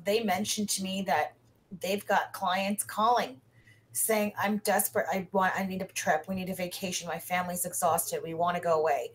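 A woman's voice: on the low side, fairly even intonation, normal speed, a little hiss or hum, rough recording. A woman co-hosting a stock market review, chatting and relaying stories in a casual way. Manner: chatty, storytelling, hands off to co-host.

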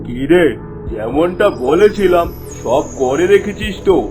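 A scheming cartoon landlord with a high-pitched voice. He is lecherous, haughty and menacing, and sounds self-righteous as he lords it over villagers, with faint music underneath. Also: lively intonation, measured pace. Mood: sly, persuasive. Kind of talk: cartoon voice acting.

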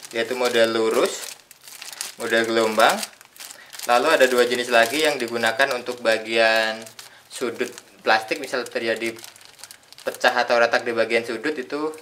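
A young man calmly explaining a tool step by step in an unboxing demonstration. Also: medium pitch, a little hiss or hum. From Indonesia. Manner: plain step-by-step explaining with pauses. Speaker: product reviewer. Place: indoors at a tabletop.

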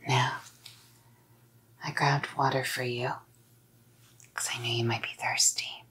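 A young woman performing a whispered ASMR role-play as a possessive girlfriend, coaxing and softly commanding, close to the microphone. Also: a bass voice, level tone, slow. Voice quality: breathy whisper. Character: possessive psycho girlfriend playing nurse. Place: small dim indoor room.

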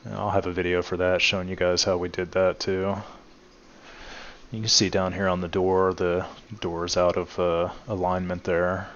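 Male host, deep and even delivery, relaxed filler-heavy garage walkaround, dull phone-recorded sound. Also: normal speed, a little hiss or hum. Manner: relaxed, many "uh" fillers, addresses viewers as guys. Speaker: channel host showing his truck restoration. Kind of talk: casual walkaround narration. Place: home garage.

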